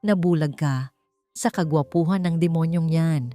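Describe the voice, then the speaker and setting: A woman's voice: deep voice, moderate pace, dark tone, lightly acted. A woman puts on a smug Filipino man, the taunting rival of an audiobook love story. He gloats and goads as he speaks. Light music sits behind the voice. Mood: triumphant. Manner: gloating, goading. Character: smug, taunting male rival exposing a betrayal.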